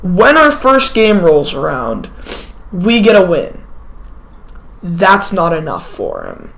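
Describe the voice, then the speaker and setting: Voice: medium pitch, fairly bright tone. A teenager, sick and sniffling, tells a personal story in a casual, rambling way, full of asides and self-corrections. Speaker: vlogger telling a personal story.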